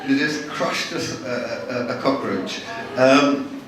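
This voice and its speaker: Man, novelist, halting and wry; live talk, distant mic, heavy hall echo. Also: mid-range voice, crowd noise. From born in Bangladesh, raised in London. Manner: wry, chatty, halting with frequent restarts. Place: large hall with audience. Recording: echoey hall from far off, noisy.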